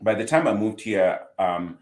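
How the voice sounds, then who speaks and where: A middle-aged man from Zimbabwe with a Zimbabwean accent, talking as a guest in a relaxed podcast interview and often repeating a word as he restarts a sentence. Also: lowish voice, normal speed. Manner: relaxed, repeats words as he restarts, chuckles easily. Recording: roomy echo.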